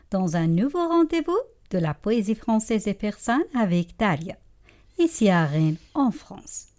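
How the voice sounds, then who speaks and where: Animatrice radio, femme, lecture posée et mélodieuse, timbre feutré. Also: female voice, mid-range voice. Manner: slow, expressive, poetic delivery. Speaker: radio host. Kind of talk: scripted radio introduction. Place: radio studio.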